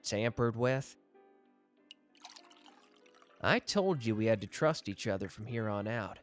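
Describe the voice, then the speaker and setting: A man's voice: fairly low pitch, animated, slow pace, soft background music. A man acting a suave, teasing young mafia executive with a love of fine wine, speaking playfully to the listener in a roleplay audio. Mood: mildly exasperated.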